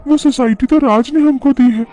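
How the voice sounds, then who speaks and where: Horror cartoon housewife, played by a man: high-pitched, anxious, pleading, mellow tone. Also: normal speed. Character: frightened young housewife, anxious and insistent.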